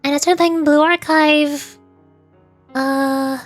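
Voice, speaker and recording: A high-pitched woman talking casually on a livestream, rambling from one thought to the next and replying to her viewers as she goes. Faint music plays quietly behind her voice. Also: steady tone, takes it slowly, no echo. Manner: rambling, chatty, answers viewers' questions. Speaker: streamer.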